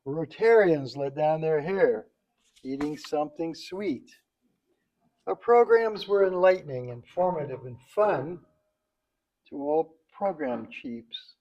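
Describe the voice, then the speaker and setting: A man reads a rhyming tribute aloud in a mellow, slightly high voice. His delivery is slow and halting, with the odd stumble. The event microphone gives the sound a muffled edge. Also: animated. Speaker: club member reading a tribute poem at a banquet. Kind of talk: reading a rhyming poem aloud. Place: banquet event, speaking to a group.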